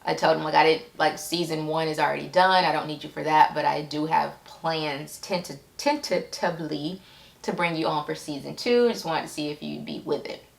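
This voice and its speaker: Echoey, creaky-voiced woman vlogger. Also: low-pitched, steady tone. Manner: halting, informal, acts out quoted remarks. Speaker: vlogger, writer and web series creator. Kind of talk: candid personal vlog. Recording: echoey room sound.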